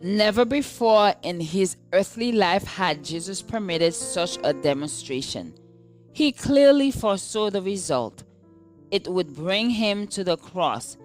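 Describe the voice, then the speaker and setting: A woman reading a scripted daily devotional in a measured, deliberate manner. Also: lowish voice. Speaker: devotional reader. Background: background music.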